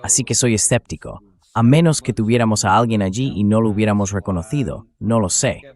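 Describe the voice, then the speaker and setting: A man's voice: fairly low pitch, brisk, darkish tone. A synthetic man's voice used as a translated voice-over in an interview on war and politics.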